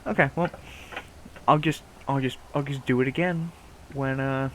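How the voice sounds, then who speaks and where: A man's voice: medium pitch, fairly even intonation, normal speed, a bit bright, slight background noise, rough recording. A man with a nasal voice rambling casually and playfully to his viewers, swearing now and then. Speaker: streamer talking to viewers. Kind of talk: casual rambling chat to camera.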